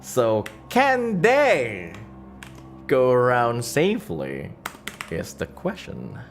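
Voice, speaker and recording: Casting: male game master for a streamed fantasy campaign, unhurried pace, halting improvised narration with repeated phrases. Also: medium pitch, wildly swooping pitch, soft background music. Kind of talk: improvised storytelling of a tabletop campaign, chatting with viewers.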